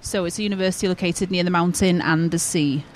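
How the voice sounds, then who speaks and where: A woman's voice: lowish voice, steady tone, quick pace, slight background noise. A woman from North Wales whose first language is Welsh, a university representative chatting easily as a radio guest at a convention fair, with fillers and light laughs. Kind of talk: casual radio interview.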